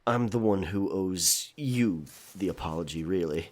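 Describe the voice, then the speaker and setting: A man playing a guarded, brooding pirate in an audio drama, speaking in a halting, reserved way. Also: sits low. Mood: halting, apologetic. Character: guarded, brooding male pirate with a hidden past.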